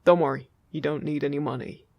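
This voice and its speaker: A man's voice: fairly high pitch, fairly even intonation, quick pace, gravelly, darkish tone, no echo. A man playing a friendly, teasing male fairy in an audio roleplay, chatting casually and reassuringly to the listener. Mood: playful, reassuring.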